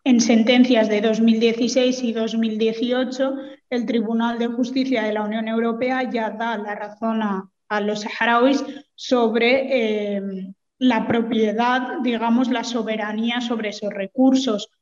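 A woman giving explanatory answers as a political interview guest, speaking in a measured, hesitant way with formal wording. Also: on the high side, level tone, darkish tone. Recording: reverberant hall, muffled sound.

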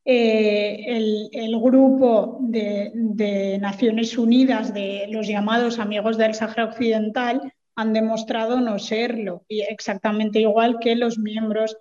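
A woman giving explanatory answers as a political interview guest, speaking in a measured, hesitant way with formal wording. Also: on the high side, level tone, dark tone. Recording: reverberant hall, muffled sound.

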